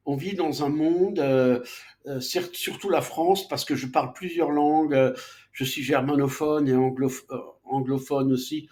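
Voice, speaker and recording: A middle-aged man giving a hesitant, lecturing monologue, full of fillers and restarts. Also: mid-range voice. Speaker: commentator. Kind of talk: casual spoken monologue.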